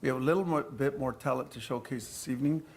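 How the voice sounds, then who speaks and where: A man's voice: medium pitch, quick pace. A man, a school district official, introducing a presentation at a board meeting. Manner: relaxed, plain, with fillers. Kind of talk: formal meeting remarks.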